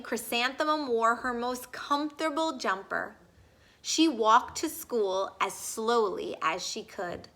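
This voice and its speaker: A woman reading a children's storybook aloud, expressive and deliberate. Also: a little high. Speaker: storybook reader. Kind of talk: children's read-aloud narration.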